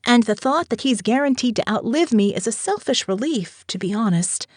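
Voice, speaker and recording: A woman's voice: a little high, lively intonation, moderate pace, dry recording. A woman reading an audiobook diary as a candid, tender-hearted woman with a frank, wry, conversational manner. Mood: candid, resolute. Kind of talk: first-person audiobook diary narration.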